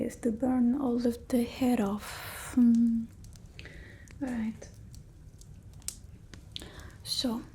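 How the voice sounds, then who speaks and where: Casting: haughty female vampire played by a woman for whispered ASMR roleplay, slow and fussy, mellow tone. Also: high-pitched, heavily acted. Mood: fussy, scolding. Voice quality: breathy whisper, soft voiced in places. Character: haughty fussy female vampire mistress instructing her familiar. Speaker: ASMR creator.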